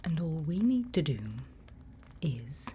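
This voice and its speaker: A woman playing a calm, reassuring monster-hunting guide in a whispered ASMR roleplay, speaking in a hushed, patient, breathy way. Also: sits low, slow, slight background noise, heavily acted. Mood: calm, instructive. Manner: hushed, patient, instructive, soothing.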